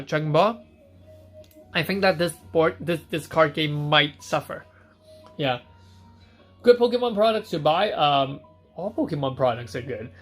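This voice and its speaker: A man's voice: a little high, fairly bright tone. Chatty man hosting a live stream. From Hong Kong. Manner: chatty, reads out viewer questions and answers them on the spot. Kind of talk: casual live stream chat answering viewer questions.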